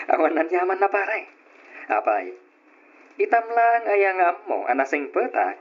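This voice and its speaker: Chummy workmate, muffled sound. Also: male voice, high-pitched, very animated, slow, heavily acted. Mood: friendly. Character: kindly, easygoing male workmate and buddy. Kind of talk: old-style radio drama acting. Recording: muffled old radio drama recording.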